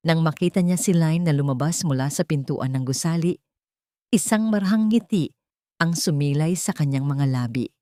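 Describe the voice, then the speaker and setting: A woman's voice: sits low, warm tone, dry recording, studio quality. A woman reading a scripted dramatic story in an earnest, sentimental way. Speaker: audio drama narrator.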